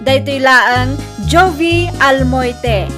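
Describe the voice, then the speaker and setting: Female radio drama announcer, high voice, Ilocano Filipino accent, theatrical drawn-out phrasing, music underneath.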